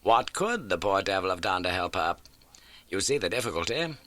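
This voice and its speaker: A man voicing a brisk, keen, masterful male detective in an audiobook, with emphatic, animated and incisive delivery. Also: low-pitched, full character voice. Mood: animated puzzling. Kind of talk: audiobook character dialogue.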